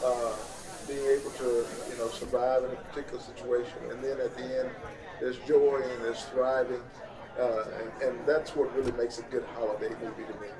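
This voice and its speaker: A man speaking as a podcast guest in casual discussion, explaining his points with pauses and fillers. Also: medium pitch, little pitch movement, crowd noise. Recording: distant mic, background voices.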